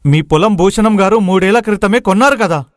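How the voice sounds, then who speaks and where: A man's voice: high voice, fairly quick, dry recording, clean studio sound, lightly acted. A man playing a chatty, blunt young village friend with a familiar manner in a moral story.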